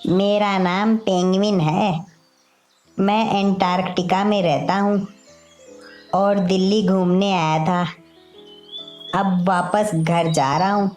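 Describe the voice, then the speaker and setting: A woman voicing a friendly male penguin in a Hindi animated moral story, speaking in a deliberate way. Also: mid-range voice, faint music behind, natural voice. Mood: polite, curious.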